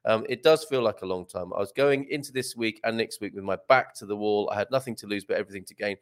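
A man's voice: on the low side, quick pace. A man hosting a casual live stream, reading items aloud and rambling off into jokey asides. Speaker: host of an expat live stream.

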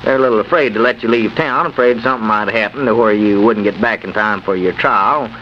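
A man's voice: on the low side, brisk, lightly acted. A male actor playing a frank but reluctant small-town neighbour with an American accent and a hesitant manner in 1930s radio comedy. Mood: apologetic. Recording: muffled, slightly noisy 1930s radio recording.

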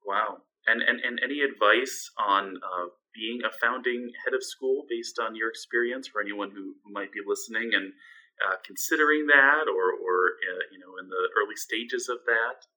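A man with a low, very bright and sharp voice asks long interview questions. He talks in a halting way, repeating words and piling up fillers before he gets to the point. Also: rough recording.

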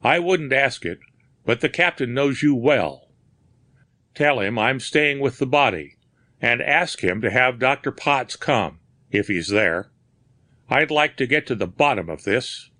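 A man voicing a worried police officer who questions people briskly. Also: mid-range voice, lively intonation, pushed and bright, no room sound, voice pushed up.

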